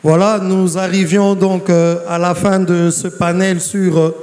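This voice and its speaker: A man moderates a live panel formally. His voice is notably high and he speaks slowly and deliberately, with the long reverb of a conference hall around him. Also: little pitch movement, darkish tone, a little hiss or hum.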